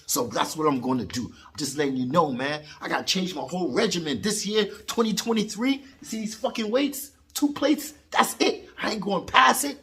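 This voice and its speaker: Late-40s new york guy ranting in his basement gym, kinda high voice, loud, cussing nonstop. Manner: loud, boastful, swearing, streetwise slang. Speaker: boxing vlogger. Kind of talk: casual profane vlog rant.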